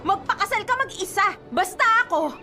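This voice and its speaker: High-voiced, stubborn superstitious bride-to-be. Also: female voice, lively intonation, brisk, sharp tone, soft background music, full character voice. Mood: agitated, stubborn. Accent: Filipino. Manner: scolding, insistent, exasperated. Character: superstitious, stubborn young bride-to-be, bossy and anxious. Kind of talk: radio drama acting.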